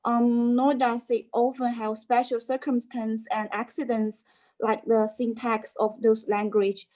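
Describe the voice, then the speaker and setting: Higher-voiced woman reading a class presentation. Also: flat delivery, a little slow, dark tone. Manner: careful reading with hesitations and self-corrections. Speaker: student presenter.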